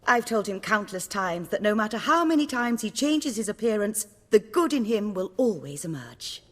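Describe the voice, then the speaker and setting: An actress playing a genteel, gracious woman of the theatre in a video game, expressive and courtly, with a husky voice and long reverb. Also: medium pitch, moderate pace. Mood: gracious, playful.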